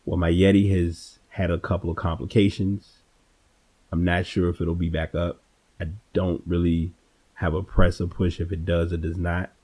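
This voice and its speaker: Very deep, husky male podcast host. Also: level tone, warm tone. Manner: reflective, unscripted, halting with repeated words. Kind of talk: casual, personal solo podcast monologue.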